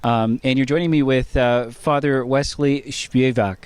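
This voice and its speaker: Man, interviewer, friendly, relaxed, mid-range pitch, rooftop outdoors. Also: unhurried, clean tone. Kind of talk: casual interview.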